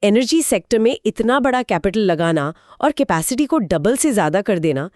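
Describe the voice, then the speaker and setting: A woman's voice: mid-range voice, animated, moderate pace, a little mellow, dry recording. Inquisitive woman co-hosting, close dry sound. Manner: inquisitive, mixes English terms into speech. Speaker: co-host of a financial explainer discussion. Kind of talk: conversational explainer dialogue.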